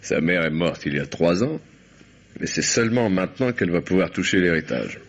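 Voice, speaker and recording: Deep-voiced cynical dealer, dull old recording. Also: male voice, animated, brisk. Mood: cynical, scheming. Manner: slangy, domineering, falsely soothing. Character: cynical, bullying male drug dealer, smooth-talking schemer. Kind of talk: French radio crime drama acting.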